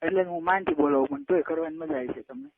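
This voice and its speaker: A young man with a Gujarati accent chats on a tinny phone line. His voice is high and creaky, and he keeps pressing and coaxing in an informal, private way. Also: lively intonation, fast talker, a little hiss or hum. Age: 20s. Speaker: caller. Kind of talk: casual private phone chat. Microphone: telephone line. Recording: tinny narrowband phone call recording.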